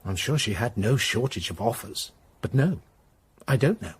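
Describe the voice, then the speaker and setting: An unapologetic husband in a radio drama. His husky voice sits at an average pitch, and he speaks frankly in measured, careful phrases. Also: lively intonation. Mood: evasive, measured. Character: unapologetic husband in an open marriage. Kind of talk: naturalistic radio drama dialogue.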